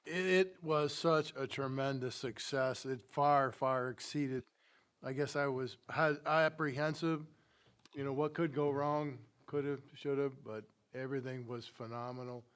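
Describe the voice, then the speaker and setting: A man's voice: medium pitch, steady tone, a little slow, a bit bright, clear, resonant tone. A man presiding over a village council meeting, speaking enthusiastically and often repeating his words as he praises staff. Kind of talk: meeting chair remarks.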